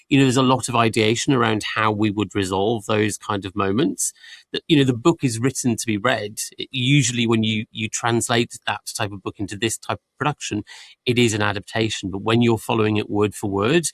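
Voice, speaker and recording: A man's voice: fairly low pitch, a bit bright. A man who leads a production team, explaining creative decisions in a conversational interview. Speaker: audio production team lead. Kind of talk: explanatory panel interview talk.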